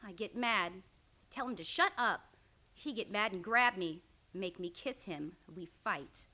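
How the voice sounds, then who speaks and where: Woman acting a bright, blunt foreign frontier wife. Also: mid-range voice, animated, measured pace. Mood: blunt, upset. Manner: simple broken English, blunt short phrases. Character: fiery, plainspoken immigrant frontier wife, unafraid, speaking broken English. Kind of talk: old-time radio western acting.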